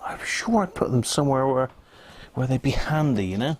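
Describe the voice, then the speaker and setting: A man's voice: medium pitch, expressive, normal speed, noisy background. A British man hosting a casual livestream, thinking aloud in a rambling, self-mocking mutter. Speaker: livestream host showing radio gear.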